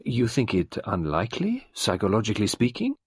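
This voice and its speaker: A man voicing a calm elderly detective who questions patiently. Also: on the low side, fairly quick, husky, no echo, studio quality, voice pushed up, exaggerated voice. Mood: probing.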